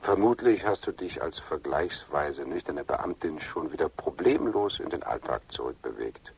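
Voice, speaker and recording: Wistful older lover, very deep husky voice, intimate, florid, swooping intonation, radio drama. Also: male voice, expressive, moderate pace, darkish tone, far from the mic, slight background noise. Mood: wistful, tender. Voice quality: gravelly, husky, breathy at times. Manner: intimate, florid, lingering phrasing. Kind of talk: radio drama interior monologue.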